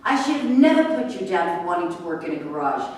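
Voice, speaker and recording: Echoey, distant, defiant sharp-tongued woman played by an actress. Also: fairly low pitch, sing-song, quick pace. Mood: accusing, scornful. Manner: sarcastic, confrontational. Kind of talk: staged play reading. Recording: echoey room, distant mic, faint noise.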